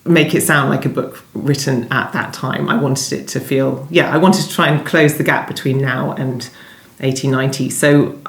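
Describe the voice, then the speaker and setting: A woman novelist being interviewed, talking conversationally in a thoughtful, hesitant and halting way. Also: rumbling low, steady tone. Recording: echoey room sound.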